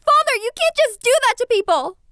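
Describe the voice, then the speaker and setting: A woman's voice: very high-pitched, big pitch swings, a little fast, sharp tone, dry recording, clean studio sound, full character voice. A woman playing a nervous but defiant young princess in an audio drama, stammering awkwardly. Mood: upset, indignant.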